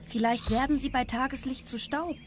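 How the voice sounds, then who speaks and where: A woman's voice: high voice, steady tone, normal speed, roomy sound, distant mic, a little hiss or hum, close to an everyday voice. An actress playing a cautious, sensible young girl, wary and practical, in a children's audio drama.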